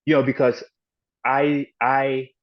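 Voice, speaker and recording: A man raised in Ghana and the United States, a podcast guest recounting his childhood in a halting, reflective way with frequent restarts. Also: mid-range voice, level tone. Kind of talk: personal storytelling in an interview. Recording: dull lo-fi audio.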